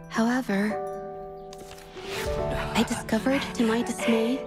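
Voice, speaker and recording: An actress voices a gentle young woman painter in a video game, her voice a little high. She speaks softly and earnestly, in measured, reflective phrases, over clearly present background music. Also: close to an everyday voice. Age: young adult. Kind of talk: dramatic game dialogue and reflective narration.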